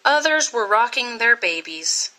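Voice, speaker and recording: Lady reading a kids story, bright voice, slow and dramatic, really animated. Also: fairly high pitch. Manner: dramatic, deliberate phrasing. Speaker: storybook reader. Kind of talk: expressive scripted storytelling for children.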